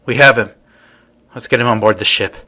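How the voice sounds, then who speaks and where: A man's voice: mid-range voice, a little fast, sharp tone. A man playing a matter-of-fact space crew member in a video game, with clipped phrasing. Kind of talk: scripted video game dialogue.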